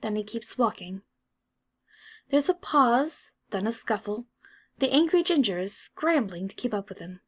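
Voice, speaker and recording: A woman reading a story as an audiobook narrator, in an expressive storytelling style. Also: on the high side, unhurried, slightly rough.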